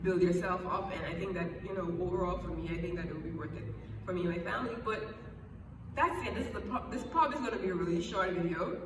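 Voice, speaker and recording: A woman's voice: fairly low pitch, fast. Bahamian woman talking in an echoey room. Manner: chatty, emphatic, repeats herself for emphasis. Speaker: vlogger. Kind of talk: casual advice vlog. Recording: echoey room, far from the mic, background noise.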